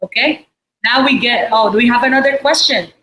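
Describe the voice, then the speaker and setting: Filipino lady chef explaining cooking casually, kinda halting, some noise behind her. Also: a little high. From Philippines. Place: indoor restaurant space behind a counter. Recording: noticeable background noise and room ambience.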